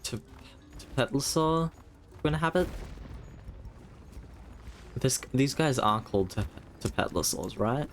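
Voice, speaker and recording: A man's voice: mid-range voice, animated, slow pace, long pauses, slight background noise. A man doing casual live video game commentary, thinking aloud with playful exclamations. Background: game music.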